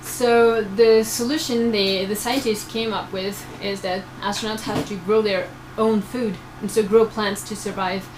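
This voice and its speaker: A woman's voice: medium pitch, steady tone, a little slow, hardly any pauses. A woman in her twenties giving explanatory answers as a research crew member, speaking to students. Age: 20s. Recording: noisy room recording.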